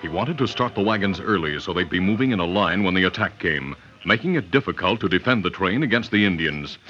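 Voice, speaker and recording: Deep-voiced man narrating an old radio adventure. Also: lively intonation, a little fast, sharp tone. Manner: dramatic announcer delivery. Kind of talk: 1940s-50s radio adventure narration, scripted. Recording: dull old radio recording with music.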